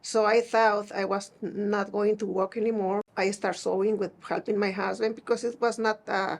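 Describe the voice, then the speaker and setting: A middle-aged woman from Mexico with a northern Mexican Spanish accent, telling personal stories conversationally in a plain-spoken, halting way. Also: medium pitch, little pitch movement, vocal fry. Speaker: seamstress being interviewed.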